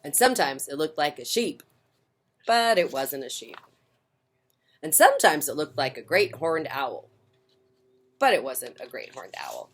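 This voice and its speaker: A woman with a low voice tells stories to children. Her intonation rises and falls widely as she reads. She is playful and informal, chatting casually between books. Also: sing-song, measured pace, long pauses, breathy edge. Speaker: children's storyteller. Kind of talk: animated picture-book read-aloud with casual asides.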